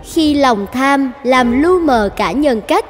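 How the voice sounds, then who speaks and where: A woman narrator reading a scripted fairy tale in a slow storytelling style. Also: sits high, animated, in a large hall. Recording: music bed under narration.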